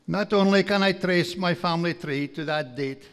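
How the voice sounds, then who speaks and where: A man's voice: sits high, normal speed, smooth. An older man from Aberdeenshire with a broad Doric Scottish accent, giving a jovial after-dinner lecture at a stand mic in a large hall. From Aberdeenshire, Scotland. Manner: jovial, folksy, reading from notes. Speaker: guest speaker. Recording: long hall reverb.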